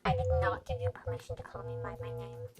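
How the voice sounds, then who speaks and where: A woman's voice: very high-pitched, completely flat delivery, fast, pitched above the performer's own voice. A woman voicing a dry, grumpy male hero who is curt and sarcastic, in an audio story. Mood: irritated, curt. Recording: very noisy amateur recording, birdlike chirps.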